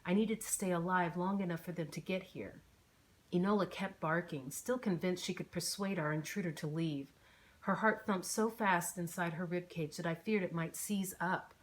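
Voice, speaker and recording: Slightly low-pitched woman narrating an audiobook. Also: normal speed, low-quality recording. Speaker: author narrating own audiobook. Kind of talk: scripted first-person novel narration.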